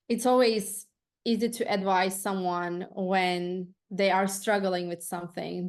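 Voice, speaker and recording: A woman hosting an interview, chatting and asking long, chatty questions. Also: mid-range voice. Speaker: podcast host.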